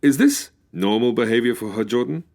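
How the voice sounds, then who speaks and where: A man's voice: medium pitch, expressive, a little fast, a little mellow, natural voice. A man playing a formal, precise, easily exasperated police official in a 1940s-style radio detective drama. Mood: puzzled.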